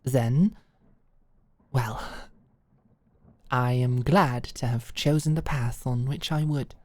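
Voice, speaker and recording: A woman's voice: a bass voice, takes it slowly, a little mellow, no echo, full character voice. Woman acting an earnest, courtly young nobleman, dry close sound. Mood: grateful, polite. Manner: courtly, hesitant, deferential. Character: earnest, polite young nobleman pleading as a captive. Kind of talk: medieval roleplay audio drama acting.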